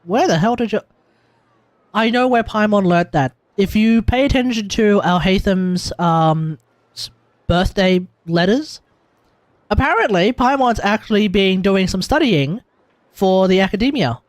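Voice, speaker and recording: A man's voice: high-pitched, measured pace, dry recording. Australian man streaming, dry close sound. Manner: excitable, joking, repeats himself for emphasis. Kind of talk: casual live game reaction commentary.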